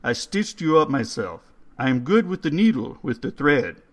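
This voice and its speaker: A man voicing a calm, courteous old male undertaker who speaks in formal, slightly stilted phrases. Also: medium pitch, normal speed, pitched above the performer's own voice. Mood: soothing.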